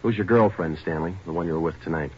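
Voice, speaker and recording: An actor playing a firm, businesslike police detective in a 1940s radio drama, questioning in a clipped, matter-of-fact way. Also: male voice, low voice, fast, close to an everyday voice. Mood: pressing. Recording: noisy, muffled 1940s radio recording.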